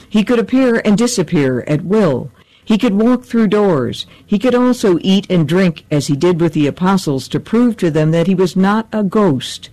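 A woman presenting a short scripted catechism segment in a measured, even reading. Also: on the low side, poor audio.

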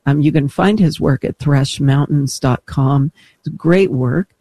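A man hosting a religious talk show in a reflective, explanatory, conversational way with frequent pauses. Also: fairly high pitch, mellow, dry recording. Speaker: radio show host.

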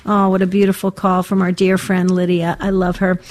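A woman's voice: fairly low pitch, completely flat delivery, normal speed. Affirming woman hosting call-in radio. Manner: warm, affirming, often murmurs assent. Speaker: radio host.

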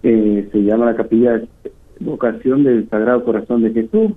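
A middle-aged man with a northern Argentine accent, giving halting testimony as a guest caller over the phone. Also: mid-range voice. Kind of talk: personal testimony in an interview. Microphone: phone call. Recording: tinny phone line, muffled and rough.

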